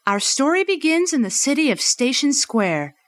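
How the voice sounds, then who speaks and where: Woman as a lively, highish storybook narrator. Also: normal speed, no echo. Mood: poised, storytelling. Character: storybook narrator, poised and festive. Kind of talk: scripted narration in a fan radio play. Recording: faint music behind.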